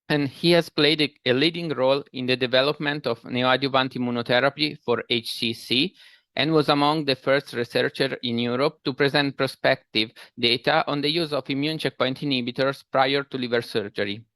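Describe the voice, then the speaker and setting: A man's voice: mid-range voice, a bit bright, smooth, no room sound. A man hosting a webinar over a video call, formally introducing the speaker with some slips and fillers. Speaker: webinar host.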